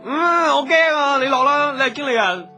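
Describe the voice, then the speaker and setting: A man's voice: very high voice, expressive, normal speed, pushed and bright, full character voice. A man with a Hong Kong accent playing a timid, suspicious, childlike celebrity in a comic radio drama, whiny and naive. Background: music.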